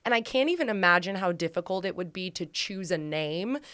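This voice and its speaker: A bubbly, enthusiastic woman co-hosting a podcast, her voice smooth and a little low. Recorded close and dry in a studio. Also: expressive, fairly quick, a bit bright, dry recording. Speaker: podcast co-host. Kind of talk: casual podcast chat.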